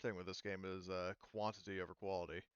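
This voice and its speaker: A man with a lowish, smooth voice works through game rules as game master. He explains in a halting, hedging, conversational way. The sound is close and dry but slightly dull. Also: little pitch movement, no echo. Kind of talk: explaining tabletop game rules in casual chat.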